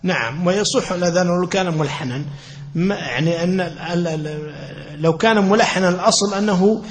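A man's voice: high-pitched, fairly quick. A man giving an explanatory religious lecture on a classical legal text, repeating phrases for emphasis as he teaches. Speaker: religious teacher of Islamic law.

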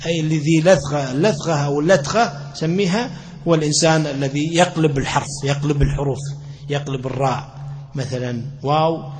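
A man with a fairly high voice, the teacher in a religious study circle going through an old text, saying key phrases twice over. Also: a little fast, darkish tone. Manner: repeats phrases for emphasis. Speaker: religious teacher of Islamic law. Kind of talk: explanatory lecture on a classical text.

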